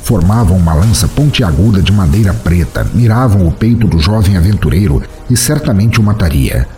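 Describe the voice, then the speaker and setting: A man's voice: low voice, lively intonation, brisk, dark tone, faint music behind. A man with a Brazilian accent reading a scripted fantasy story as narrator.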